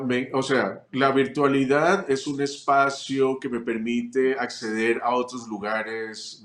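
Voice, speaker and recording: A man from Chile, a family constellations teacher, answering interview questions reflectively, with frequent pauses and restarts. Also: lowish voice, echoey room. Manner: reflective, many fillers and restarts. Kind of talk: relaxed interview conversation.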